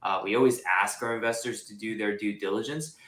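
A young man from Japan who grew up in Singapore, a real estate investor talking casually as an interview guest, relaxed and chatty with lots of "like" fillers. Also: on the low side, brisk, reverberant. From Japan, raised in Singapore. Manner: relaxed, chatty, many "like" fillers, laughs easily.

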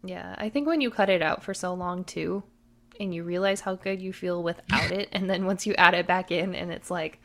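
Gravelly-voiced woman podcast co-host. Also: lowish voice, normal speed. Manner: relaxed, laughs easily, agreeable. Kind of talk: casual chat.

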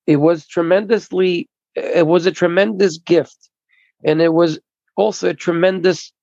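A man interpreting at a recovery meeting over a video call, halting and restarting his phrases. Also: high voice, fairly even intonation, gravelly, a little mellow, dry recording. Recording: dull lo-fi video call audio.

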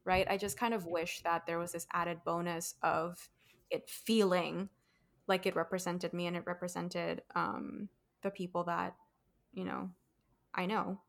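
A woman's voice: fairly low pitch, flat delivery, a little slow, gravelly. A young woman from Colombia, hosting a casual film review chat, thoughtful and full of fillers. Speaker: host.

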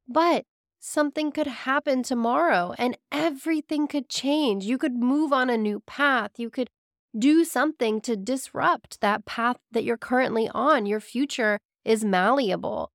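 A woman hosting a spiritual podcast as a psychic medium, talking in a casual, earnest and gently reassuring way while sick, her voice raspy and weak. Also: fairly high pitch, no echo, studio quality. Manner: earnest, gently reassuring, addresses listeners fondly. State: sick, hoarse.

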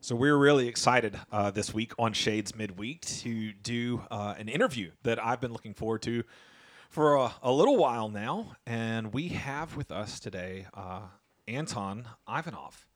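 A man's voice: mid-range voice, expressive, moderate pace. A man hosting a church podcast, introducing his guest in a friendly, rambling way. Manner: friendly, rambling, many fillers and restarts. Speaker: podcast host. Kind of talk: casual interview chat.

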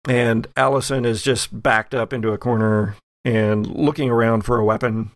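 A man working as game master, narrating a tabletop horror game in a descriptive, halting way. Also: mid-range voice, fairly even intonation, dry recording.

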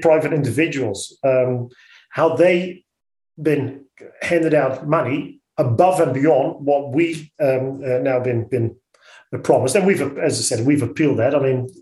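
A man with a Dutch accent speaking as an interview guest, blunt and outspoken, often halting and repeating words. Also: mid-range voice, a little mellow, echoey room, rough recording. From Netherlands. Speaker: interview guest, orchard business owner. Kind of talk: radio interview.

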